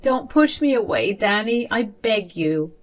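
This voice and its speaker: A woman voicing a manipulative old grandmother who begs her family for money, in a high wheedling voice. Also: sing-song, a little slow, faint music behind. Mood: pleading. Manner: whiny, wheedling, high-pitched.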